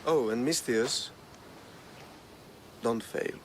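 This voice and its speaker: An actor playing a middle-aged villain in a video game, smooth and cold, speaking in a measured, condescending way. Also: male voice, medium pitch, slight background noise. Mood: calculating. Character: smooth, sinister middle-aged male schemer and employer. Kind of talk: video game cutscene acting.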